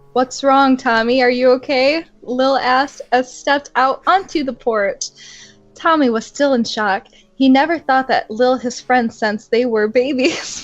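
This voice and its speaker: A woman's voice: sits high, no echo, lo-fi sound. A woman co-reading a story, giggly and a little hesitant, in a casual chat. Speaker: co-reader.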